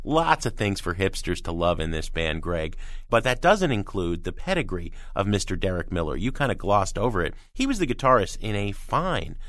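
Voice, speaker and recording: Man, music critic, opinionated review talk, very animated intonation, emphatic delivery, dry studio sound. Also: sits low, moderate pace, no room sound. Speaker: music critic and show co-host. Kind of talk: opinionated album review discussion.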